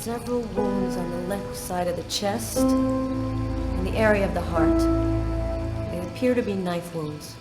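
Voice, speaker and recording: A woman's voice: mid-range voice, unhurried, natural voice. An actress playing a weary, observant female New York police inspector in a video game, speaking matter-of-factly. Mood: focused. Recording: loud music under the voice.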